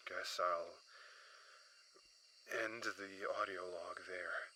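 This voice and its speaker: A man playing a weary lone crash survivor, a chief surveyor recording halting audio logs in a sci-fi horror audio drama. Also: low-pitched, fairly even intonation, bright, forceful tone, a little hiss or hum, heavily acted. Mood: weary, subdued. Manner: halting, hesitant, sighing delivery.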